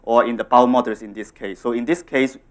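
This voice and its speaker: A man's voice: mid-range voice, fast talker. Hong Kong-accented man giving a lecture. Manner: steady, explanatory, some fillers. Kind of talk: scientific talk. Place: conference room.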